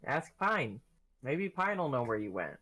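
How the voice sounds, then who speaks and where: A man's voice: mid-range voice, sing-song, a little slow, pushed and bright. A man chatting casually as a player in a tabletop game. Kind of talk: casual chat.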